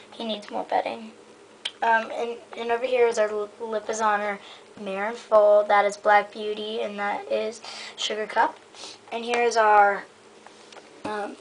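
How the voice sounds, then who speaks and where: A woman giving a casual, rambling show-and-tell in a hesitant, halting way that often trails off. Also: mid-range voice. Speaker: hobbyist giving a toy horse stable tour. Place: indoors in a room at home. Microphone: handheld camera held close by the speaker. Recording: noisy amateur home camera recording.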